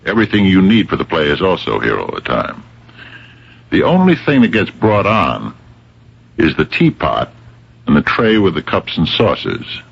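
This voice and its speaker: An actor playing a dogged, plain-spoken police captain who questions in a halting, probing way, in a radio drama. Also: male voice, low-pitched, wildly swooping pitch, vocal fry, slightly rough, exaggerated voice. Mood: methodical. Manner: halting, probing, deceptively bumbling. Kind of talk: 1970s-80s radio drama acting. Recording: dull lo-fi old radio recording.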